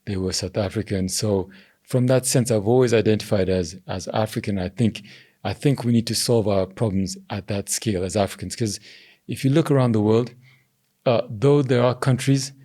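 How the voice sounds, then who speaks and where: A young man from Zambia, a governance strategist giving measured interview answers that he often hedges with "I think.". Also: fairly low pitch, mellow. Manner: measured, hedging with "I think" and "you know". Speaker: podcast guest, governance strategist.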